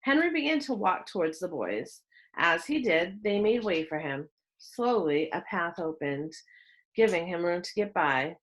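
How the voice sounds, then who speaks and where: A woman reading a children's story aloud as narrator, expressive and with dramatic pauses. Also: on the low side, poor audio. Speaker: storybook reader.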